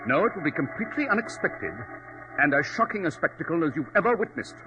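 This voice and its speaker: An actor playing a coolly commanding, self-assured gentleman detective in a 1940s radio drama, speaking with brisk authority. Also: fairly high pitch, animated, natural voice. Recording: dull old radio recording with music.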